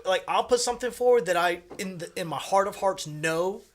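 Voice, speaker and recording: Fast-talking high-voiced man. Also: slight background noise. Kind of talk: casual podcast conversation.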